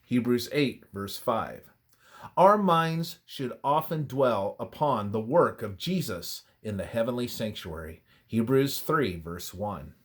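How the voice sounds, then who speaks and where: A man reading a scripted religious study aloud in a measured, reverent, formal manner. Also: mid-range voice, lively intonation. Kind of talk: scripted devotional narration, read aloud.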